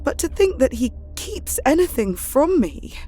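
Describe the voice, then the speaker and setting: A woman's voice: high voice, big pitch swings, measured pace, dark tone, soft background music. An actress playing an anxious, devoted young Victorian wife, reading her journal in a wistful, emotional way for an audio drama. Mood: wistful, hurt.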